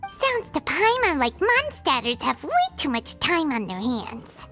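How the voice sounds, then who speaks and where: A woman voicing a tiny excitable female companion sprite who chirps and speaks of herself in the third person. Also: high voice, measured pace, full character voice. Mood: teasing. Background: background music.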